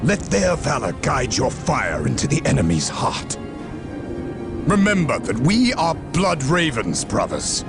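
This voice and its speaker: An actor playing a stern, zealous space marine captain in a video game, speaking in grand, commanding oratory. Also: male voice, medium pitch, huge pitch swings, a little slow, music in the background. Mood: rousing, defiant. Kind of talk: video game cutscene battle speech.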